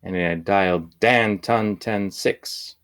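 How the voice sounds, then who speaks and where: A man reading a children's picture book aloud in measured, deliberate phrases with pauses. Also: lowish voice, fairly bright tone. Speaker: storybook reader.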